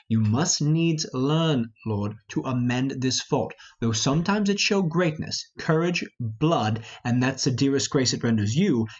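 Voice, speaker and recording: A man playing a sober older nobleman who scolds in measured tones, in an amateur Shakespeare reading. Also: medium pitch, breathy edge, lo-fi sound.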